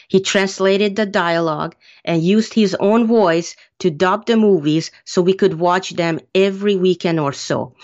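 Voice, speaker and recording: Low-voiced woman, muffled lo-fi. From Hungary. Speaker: author being interviewed. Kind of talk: prepared interview answers. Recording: muffled lo-fi sound.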